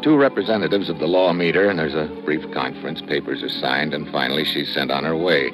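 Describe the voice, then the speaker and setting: A man's voice: rumbling low, brisk, music in the background, rough recording. A man playing a wry, tough government agent in a hard-boiled, clipped style of old radio adventure drama. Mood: brisk, matter-of-fact.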